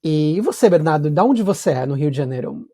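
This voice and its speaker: A man's voice: fairly high pitch, animated, fairly quick, dark tone. A man from São Paulo with a Paulista accent, hosting a podcast and asking curious questions while thinking aloud. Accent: Paulista Brazilian Portuguese. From São Paulo, Brazil. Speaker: podcast host. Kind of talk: casual conversational interview.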